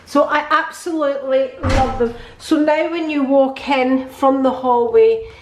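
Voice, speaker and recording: A woman's voice: sits high, measured pace, background noise. Chatty woman in her sixties, echoey kitchen. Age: 60s. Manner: chatty and cheerful, rambling. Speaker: vlogger. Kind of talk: casual chat to camera. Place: home kitchen.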